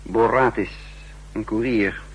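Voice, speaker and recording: An actor playing a brisk, commanding, world-weary spy chief in a 1960s radio drama. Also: male voice, on the low side, breathy edge. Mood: brisk, authoritative. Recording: humming, noisy 1960s radio recording.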